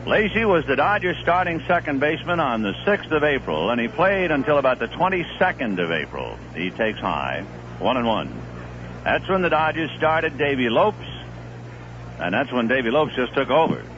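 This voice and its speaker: Guy doing baseball play by play, bouncy voice, old radio with faint hiss. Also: normal speed. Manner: steady, conversational play-by-play with live pitch calls. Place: stadium broadcast booth. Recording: muffled 1970s AM radio broadcast with faint hiss.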